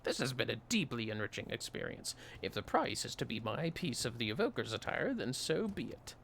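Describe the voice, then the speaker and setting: A man's voice: medium pitch, sing-song, a little fast, creaky, a bit bright, slight background noise. A man voicing a scholarly, courteous researcher from a fantasy game, with ornate, formal speech. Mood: fascinated. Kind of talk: reading game dialogue in character. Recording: music behind the voice.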